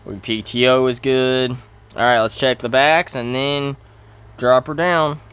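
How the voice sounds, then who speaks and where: A man giving casual commentary over farming gameplay, halting and often correcting himself as he thinks aloud. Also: medium pitch, steady tone, fairly bright tone, smooth. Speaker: gaming video host.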